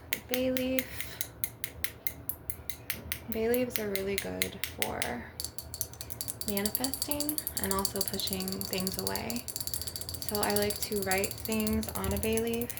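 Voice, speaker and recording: Woman with a fry-heavy voice chatting casually on her asmr channel, kinda rambly, lots of noise and tapping behind her. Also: medium pitch, steady tone, takes it slowly, long pauses. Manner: rambling, with frequent "like" and "you know". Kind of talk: casual spiritual ASMR monologue to viewers. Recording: loud background noise with tapping and clicking. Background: crystal and glass tapping, clicks, background noise.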